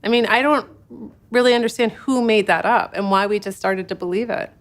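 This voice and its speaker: Woman, interview clip, mid pitch, frank, conversational. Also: brisk. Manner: frank, conversational, thinking aloud.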